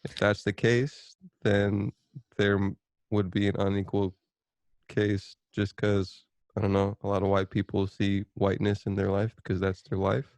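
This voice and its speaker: Low, creaky-voiced man rambling casually. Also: completely flat delivery, moderate pace, a little mellow, dry recording. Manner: hesitant, rambling, repeats himself; casual swearing. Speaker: podcast co-host. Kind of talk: casual chat.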